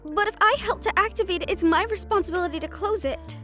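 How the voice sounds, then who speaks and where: A woman's voice: very high voice, fast, pushed and bright, faint music behind. A woman playing an anxious, earnest young student medium in an audio drama, speaking in a hesitant, questioning way. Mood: pleading. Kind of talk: audio roleplay acting.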